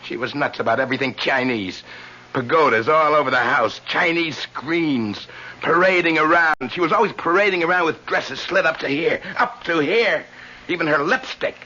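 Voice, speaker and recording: Tormented, unhinged killer, sharp intense voice. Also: male voice, fairly high pitch, moderate pace. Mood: bitter rage. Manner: insistent, repeats phrases obsessively. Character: tormented serial killer lodger, self-pitying, bitter and unhinged. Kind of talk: old-time radio mystery acting. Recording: dull lo-fi radio recording with hiss.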